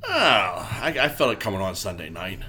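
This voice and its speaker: A man's voice: lowish voice, wildly swooping pitch, moderate pace, pushed and bright. A man co-hosting a podcast, chatting casually with a stuffy, congested voice, rambling, swearing and filling his talk with "like". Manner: rambling, swears freely, fills with "like". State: congested from a cold. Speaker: podcast co-host. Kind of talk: casual chat.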